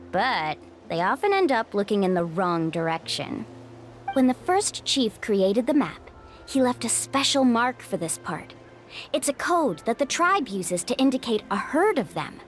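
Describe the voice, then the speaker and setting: Casting: bubbly, confident young guide, lively pitch, light music behind. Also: female voice. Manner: upbeat, playful, confident. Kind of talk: video game voice acting. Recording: game audio with music behind.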